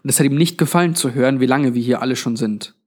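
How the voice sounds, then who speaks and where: A man's voice: mid-range voice, fast talker, darkish tone, breathy edge, close to an everyday voice. A man voicing a weary, blunt old undead man with a grave, resigned manner in a narrated story. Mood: grim, sad.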